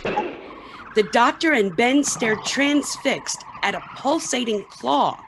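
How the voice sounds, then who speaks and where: A woman's voice: a little high, expressive, takes it slowly, background noise. Woman narrating a radio play script.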